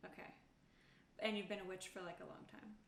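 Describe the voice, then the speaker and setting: A woman's voice: medium pitch, level tone, brisk, vocal fry, a bit bright. A woman talking casually as a player working out her character in a tabletop roleplaying game. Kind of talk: casual table talk while creating a character. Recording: roomy echo, distant mic, noisy background.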